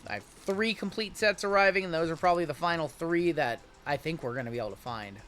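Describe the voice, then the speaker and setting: A man's voice: on the high side, quick pace, fairly bright tone. A man in his late thirties hosting a live card break, chatting casually with viewers while rattling off player names from each pack. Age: 30s.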